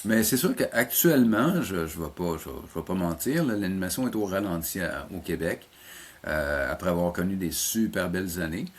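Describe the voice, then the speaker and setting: A man's voice: low voice, expressive, normal speed. A middle-aged man with a Quebec French accent, answering interview questions conversationally as a teacher, often hesitating and repeating words as he explains. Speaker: college animation teacher and programme coordinator, interview guest.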